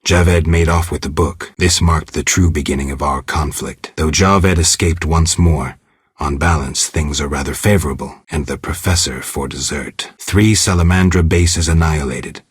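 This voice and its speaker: A man voicing a stoic, world-weary monster hunter in a video game, with a gravelly, husky voice and terse, deadpan delivery. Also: rumbling low, normal speed, no room sound, studio quality, full character voice. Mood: cool, resolute. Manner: terse, deadpan, clipped phrases. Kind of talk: video game monologue, reflective narration.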